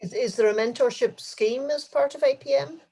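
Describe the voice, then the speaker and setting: A host leading a career conversation, speaking in a halting way with many fillers. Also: high-pitched, a little mellow, breathy edge. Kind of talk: hosting a career talk.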